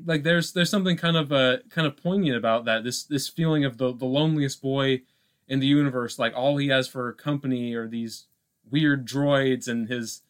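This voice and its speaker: A male podcast co-host in a casual film discussion. He thinks aloud, circling back and adding asides as he goes. His voice sits at an ordinary middle pitch for a man. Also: moderate pace, clear, resonant tone.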